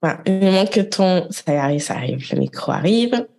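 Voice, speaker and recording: A woman business coach leading a live group coaching session, talking directly and encouragingly with quick asides. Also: lowish voice, expressive, creaky, darkish tone.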